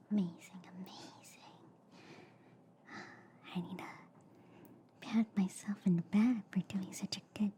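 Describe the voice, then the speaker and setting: A woman whispering softly and soothingly in an ASMR roleplay as a gentle, reassuring alien doctor, close to the microphone. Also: medium pitch. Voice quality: soft, breathy whisper.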